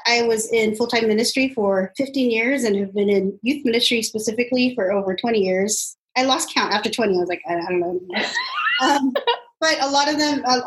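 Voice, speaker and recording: A woman's voice: mid-range voice, rough recording. Chatty woman in her forties. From Los Angeles, United States. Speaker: guest, doctoral candidate and youth minister. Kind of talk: casual conversation.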